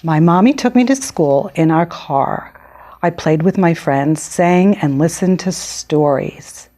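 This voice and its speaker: Woman reading as excitable little storybook girl, low-voiced. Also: slow pace. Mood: cheerful, recounting. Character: excitable six-year-old girl, happy but quick to anger. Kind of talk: picture-book read-aloud.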